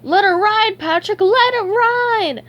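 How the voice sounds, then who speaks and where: A woman voicing an excitable, overdramatic young male cartoon sponge who wails and exclaims. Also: extremely high pitch, a little slow, pitched above the performer's own voice, full character voice. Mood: urgent, pleading. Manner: dramatic, wailing and exclaiming.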